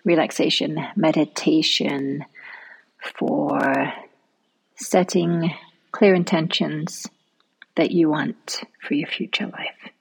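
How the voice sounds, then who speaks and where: A woman leading a guided relaxation meditation in a soft, soothing manner, often trailing off into a near-whisper. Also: deep voice, steady tone, slow pace, creaky, slightly rough, poor audio.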